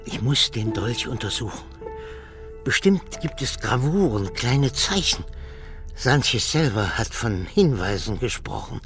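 An actor playing an arrogant, obsessed older archaeology professor in a radio drama, lecturing and full of contempt. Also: male voice, medium pitch, big pitch swings, measured pace, fairly bright tone. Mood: cold, irritated. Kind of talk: German radio drama acting. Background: music.

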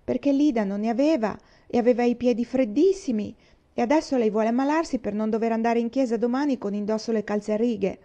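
Storybook girl, anxious and pleading, high and loud, voiced by a woman, dull audio. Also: a little fast, close to an everyday voice. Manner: pleading, worried. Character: anxious, earnest little girl, pleading sister.

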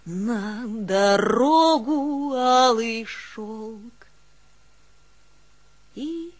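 A sorrowful peasant woman, higher voice, really unhurried, folksy stage monologue. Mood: plaintive singing. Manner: folksy, plaintive storytelling. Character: long-suffering, devoted Russian village woman recalling hardship. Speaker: actress in a one-woman show. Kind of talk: theatrical monologue with drawn-out folk singing.

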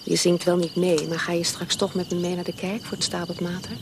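A woman's voice: on the low side, flat delivery, fairly quick, noisy background, natural voice. An actress in a radio play voicing a firm, caring, blunt-spoken woman. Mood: coaxing. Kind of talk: Dutch radio drama acting.

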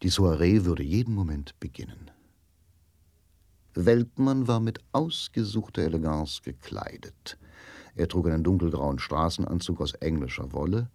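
A man reads scripted narration for a radio play in a fairly low voice. His delivery is sober and measured, and the sound is dry and close. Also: expressive, darkish tone, no room sound.

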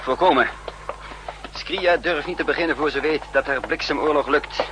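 An actor playing a decisive, practical spy leader in a 1960s radio play, giving orders and explanations in a commanding manner. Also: male voice, a little high, normal speed, lightly acted. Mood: resolute. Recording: noisy 1960s radio drama recording.

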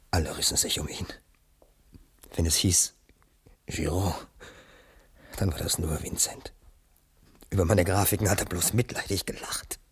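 A man's voice: low-pitched, husky, no room sound, full character voice. An actor playing a bitter, middle-aged male art teacher posing as a painter in a 1980s East German radio crime drama.

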